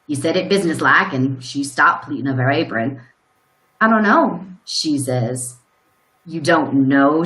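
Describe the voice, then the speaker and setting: A woman playing a plain rural farmer in a live radio play, telling a grim story in a halting, folksy way. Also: deep voice, a bit bright, heavily acted, rough recording.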